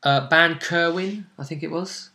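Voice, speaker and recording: Bright-voiced man recapping a game. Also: fairly high pitch, unhurried. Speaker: role-play session host. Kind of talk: casual recap of a role-play game.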